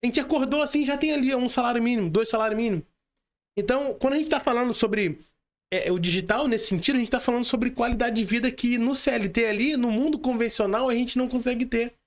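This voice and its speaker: A man's voice: very high voice, fast talker. Brazilian man, podcast host, informal conversational delivery. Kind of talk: casual conversational talk.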